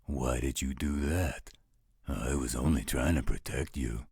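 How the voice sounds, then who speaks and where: A man playing a gruff, overprotective werewolf guardian in an audio roleplay, gravelly and husky, with a protective, fatherly manner. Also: rumbling low, a little slow, darkish tone, no echo, studio quality, exaggerated voice. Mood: concerned, tender. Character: gruff, overprotective male werewolf guardian, tender toward his ward.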